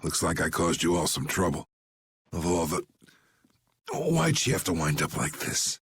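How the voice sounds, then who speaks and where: A stubborn old guild boss in a game dub. The voice is low and gravelly, with a husky edge, and the delivery is folksy, plain-spoken and full of drawl. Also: male voice, very animated, a little slow, a bit bright, no room sound, heavily acted. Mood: weary. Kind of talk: video game dub acting.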